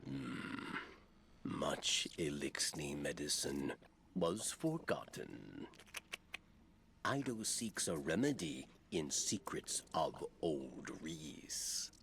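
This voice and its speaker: An actor voicing an ancient male alien elder, gravelly and creaky, who speaks in slow, terse, broken phrases. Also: extremely low pitch, very animated, a bit bright, slight background noise, full character voice. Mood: solemn. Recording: game soundtrack under voice. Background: music.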